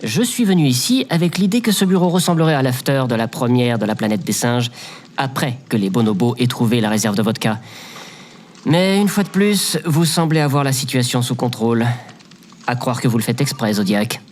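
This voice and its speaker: A man's voice: mid-range voice, expressive, brisk, a little mellow, close to an everyday voice. A man playing a bitter, sarcastic space station operator in an audio comedy, with a dry, weary manner. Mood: sardonic. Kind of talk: scripted audio comedy fiction acting.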